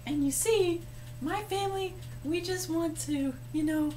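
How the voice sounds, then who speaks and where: Woman acting a squeaky-high earnest young farm girl. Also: a little slow, dark tone, a little hiss or hum. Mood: pleading. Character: earnest young farm girl who loves her cow.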